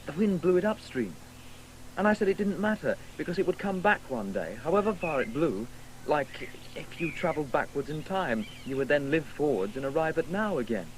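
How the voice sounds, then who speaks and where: Pedantic, haughty boy, acted by a man, animated and high. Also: brisk, slight background noise, natural voice, rough recording. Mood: musing, matter-of-fact. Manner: pedantic, condescending explaining. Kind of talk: 1980s radio drama acting.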